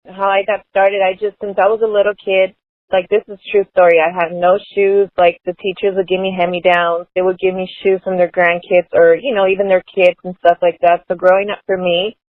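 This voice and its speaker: Woman, guest from Los Angeles, earnest storyteller, somewhat low voice, phone-like audio. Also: no echo. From Los Angeles, United States. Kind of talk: casual conversation. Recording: dry, phone-like narrow sound.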